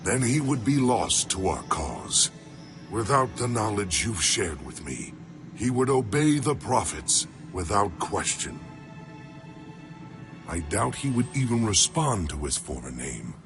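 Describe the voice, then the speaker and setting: An actor playing a resolute alien warrior turned rebel in a game cutscene, speaking in an earnest, formal way. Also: male voice, fairly low pitch, big pitch swings, measured pace. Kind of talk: video game cutscene acting. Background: music score.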